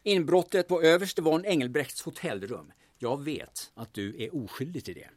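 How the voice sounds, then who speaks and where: A man's voice: on the high side, animated, darkish tone. An actor playing a blunt, pushy male investigator from the capital in a radio drama, curt, insinuating and threatening. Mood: serious.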